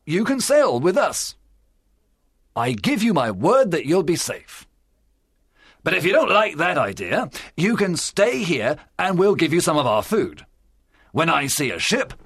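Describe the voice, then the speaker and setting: Storybook pirate boss, hot-tempered, menacing, high-pitched, rough husky tone, very dry sound. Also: male voice, no room sound, heavily acted. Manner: forceful, menacing, emphatic. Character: fierce one-legged pirate leader, cunning and hot-tempered. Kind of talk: acted storybook dialogue.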